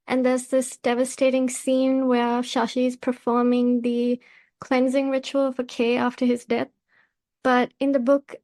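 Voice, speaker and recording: A woman hosting a podcast, putting prepared interview questions in a measured, polite way. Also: high-pitched, darkish tone.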